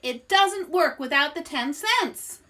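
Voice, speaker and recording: Lady doing a bossy little chipmunk fortune teller for a kids book, high n loud, super dramatic, drawn out. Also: expressive, exaggerated voice. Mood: indignant. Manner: pompous, dramatic, drawn-out declarations. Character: small female chipmunk, grandiose pretend fortune-teller.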